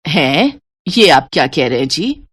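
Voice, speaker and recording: Woman doing a fretful, lowered-pitch mother. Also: sing-song, measured pace, breathy edge, dry recording, studio quality, exaggerated voice. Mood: startled. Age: middle-aged. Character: cautious, fretful middle-aged mother and wife. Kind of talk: animated moral story voice acting.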